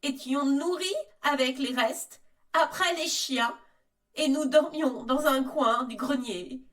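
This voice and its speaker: An earnest young former servant played by a woman testifies formally and deliberately. Her high voice sits a little away from the mic. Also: fairly bright tone. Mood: grave, recounting. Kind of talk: scripted testimony in mock interview. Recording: voice distant from microphone.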